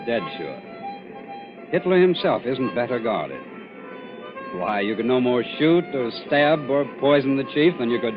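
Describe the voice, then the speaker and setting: A man's voice: mid-range voice, lively intonation, measured pace, pauses often, lightly acted. An actor playing an anxious, officious secretary to an important man in 1940s radio drama style. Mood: guarded, proud. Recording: tinny old radio broadcast, music behind.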